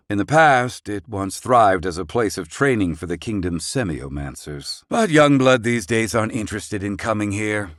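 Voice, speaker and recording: A man voicing a proud, erudite older mage for a video game, gruff but kindly, speaking in formal, wordy phrasing. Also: deep voice, dry recording, clean studio sound. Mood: somber, matter-of-fact. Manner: formal, wordy, archaic phrasing with clipped questioning tags. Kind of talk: English-dub video game voice acting.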